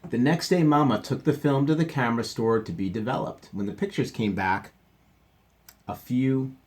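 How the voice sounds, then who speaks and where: A man teacher reading a picture book aloud to children, stopping for gentle chatty asides. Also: mid-range voice, normal speed.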